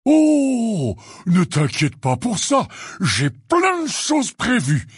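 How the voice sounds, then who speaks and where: A man voicing a big, hearty, stubborn but good-natured gamekeeper in a storybook, with a husky, blustery drawl. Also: medium pitch, sing-song, unhurried, warm tone, no echo, studio quality. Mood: enthusiastic.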